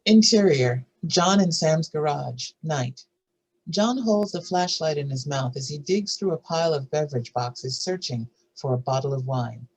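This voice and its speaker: A woman with a husky voice reads scripted narration and stage directions for a drama table read. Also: deep voice, a little slow, poor audio.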